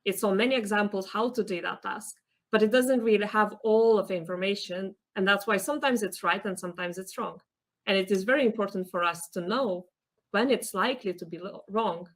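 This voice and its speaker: Young woman, PhD student guest, earnest explainer, mid-range voice, interview. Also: mid-range voice. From Lithuania. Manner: earnest, explanatory, some hesitations.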